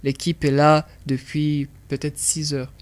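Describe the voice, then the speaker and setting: A young film director from Burundi speaks in a radio studio interview. His voice sits fairly high for a man. He explains things eagerly and often repeats words while he works out a thought. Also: level tone, measured pace.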